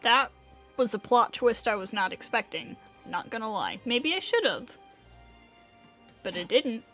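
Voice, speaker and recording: A woman streamer with a slightly husky voice, casually reacting to a game story. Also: fairly high pitch, animated, unhurried, a bit bright, soft background music.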